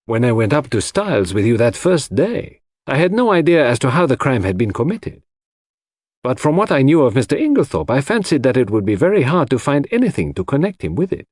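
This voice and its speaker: A shrewd foreign detective in a mystery audiobook, acted out by a male narrator, lecturing with great self-assurance. The voice is husky and theatrical, leaping up and down as he patiently lays out his reasoning, in a dry recording. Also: mid-range voice, big pitch swings, a little fast, darkish tone, no echo. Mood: explaining, assured. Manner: theatrical, didactic, sprinkles French phrases.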